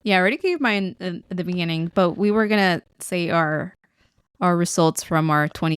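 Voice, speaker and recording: Female podcast co-host, slightly low pitch, clear smooth voice, dry sound, chatty and informal. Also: brisk, no room sound. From Guatemala. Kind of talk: casual unscripted podcast chat.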